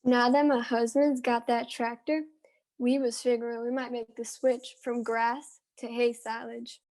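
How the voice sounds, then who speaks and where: Woman playing a high-voiced American farm wife. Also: level tone, unhurried, darkish tone. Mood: forthright, neighborly. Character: forthright, neighborly farm wife. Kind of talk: amateur stage-play acting.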